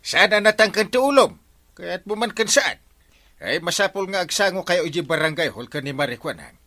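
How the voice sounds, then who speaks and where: A man's voice: high-pitched, expressive, brisk, bright, forceful tone, no echo, exaggerated voice. A man acting an exasperated village captain who scolds theatrically, in an Ilocano comedy radio drama with an Ilocano accent. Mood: stern.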